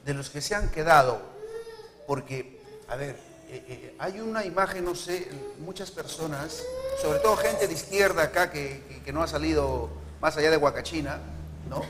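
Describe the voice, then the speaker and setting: A man's voice: a little high, moderate pace. An older man interviewing guests live in a TV studio, talkative with joking asides. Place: TV news studio. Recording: echoey studio with faint hiss.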